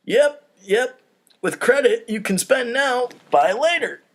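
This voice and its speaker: A man's voice: very high voice, lively intonation, takes it slowly, pitched above the performer's own voice, full character voice. A man voicing a bigoted, falsely cheerful banker in a comedy script, with a theatrical salesman's delivery.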